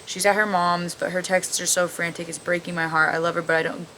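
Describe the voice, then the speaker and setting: A woman's voice: on the low side, flat delivery, fairly quick, a bit bright, rough recording. A woman hosting a casual video, reading online stories aloud and cutting in with blunt, sarcastic, sweary commentary. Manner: blunt, profane, sarcastic interjections. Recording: clearly audible background noise.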